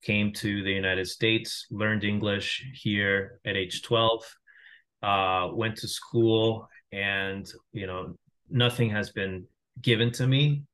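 A man's voice: fairly low pitch, steady tone, slow, fairly bright tone, rough recording. A man in his thirties from Venezuela, a company founder talking on a video call in a casual, reflective way with frequent restarts. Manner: reflective, many restarts and fillers. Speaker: founder and guest. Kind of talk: casual interview talk. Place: home room via video call. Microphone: video call webcam mic.

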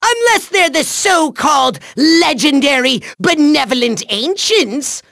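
An actor playing a pompous, tantrum-prone little cartoon villain in a video game, with a shrill, gravelly, theatrical delivery. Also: male voice, sing-song, measured pace, sharp tone, full character voice. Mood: incredulous scorn. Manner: theatrical, grandiose, sneering, cackling.

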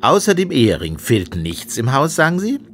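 A self-assured male police inspector in an audio drama. He is brusque and emphatic, with a very lively, swinging delivery. Faint background ambience sits under the voice. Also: medium pitch, normal speed, slight background noise. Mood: probing. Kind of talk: German audio drama acting.

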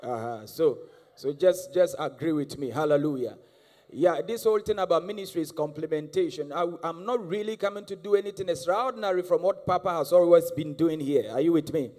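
High-voiced Ghanaian preacher in a big hall. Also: male voice, fairly quick, warm tone. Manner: exhorting, repeats calls for the crowd to answer. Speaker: guest prophet preacher. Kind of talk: charismatic sermon. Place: large church hall on stage. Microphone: handheld mic. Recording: live church hall with long reverb and music.

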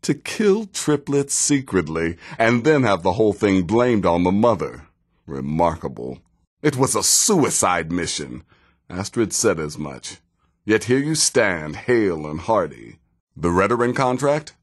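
An actor playing a cynical, seasoned male assassin in a video game, with a dry, deadpan and deliberate manner. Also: deep voice, breathy edge, heavily acted. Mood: sardonic, amused. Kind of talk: video game character dialogue.

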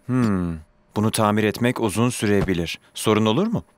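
A man's voice: lowish voice, fairly quick, dry recording, close to an everyday voice. A man playing a young, polite, easygoing repair mechanic in a children's cartoon dub. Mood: polite, surprised.

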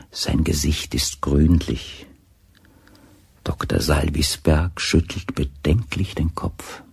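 A man narrating a crime radio play in a deliberate, literary storytelling manner. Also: rumbling low, darkish tone, breathy edge, no room sound, heavily acted. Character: measured, observant male narrator of a crime story.

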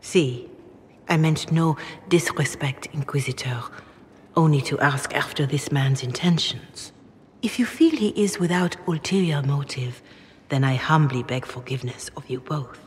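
A woman's voice: deep voice, measured pace. An actress playing a solemn, formal revered mother in a fantasy game, deferential yet guarded. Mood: apologetic. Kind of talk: video game cutscene dialogue. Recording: long reverb, noisy ambience behind.

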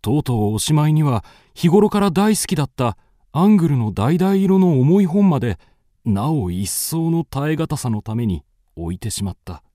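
A man's voice: fairly high pitch, big pitch swings, normal speed, dark tone, dry recording. An actor playing a moody, melancholic young man with restless fancies, narrating a literary radio drama in the first person. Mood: strained, frustrated.

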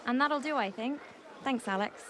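A man's voice: very high voice, normal speed, fairly bright tone, slight background noise, low-quality recording. A man acting as a polite post-match sports interviewer in a video game cutscene. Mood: brisk, polite.

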